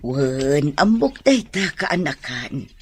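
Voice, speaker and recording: Slow-talking kindly auntie. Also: female voice, sits low, sing-song, a little hiss or hum, exaggerated voice. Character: trusting, kindly middle-aged auntie running a shop. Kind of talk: Ilocano radio drama acting.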